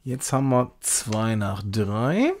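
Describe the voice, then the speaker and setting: Casual man streamer with lively, swinging intonation. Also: on the low side, slow. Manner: chatty, rambling, thinks aloud. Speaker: game streamer, host. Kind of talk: casual live gaming commentary with chat.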